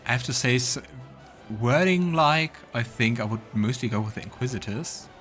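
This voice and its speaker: A man's voice: mid-range voice, lively intonation, moderate pace, a bit bright. A man hosting a let's play, mixing game commentary with read-aloud narration. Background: music.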